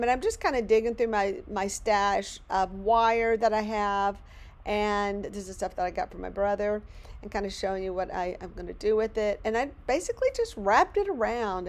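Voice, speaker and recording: A middle-aged woman talks through her craft project in a loose, rambling way, poking fun at herself as she goes. Her voice is hoarse and worn, close to giving out. She sounds like she's chatting with friends from a cluttered home studio. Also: mid-range voice. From Louisiana. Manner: chatty, rambling, self-deprecating. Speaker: craft channel host. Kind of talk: casual crafting narration.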